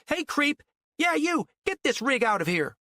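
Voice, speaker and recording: A man voicing a mean, scolding older woman, raised pitch. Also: very animated, a little slow, pushed and bright, no echo, studio quality, heavily acted. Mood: angry, indignant. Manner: snippy, aggressive scolding.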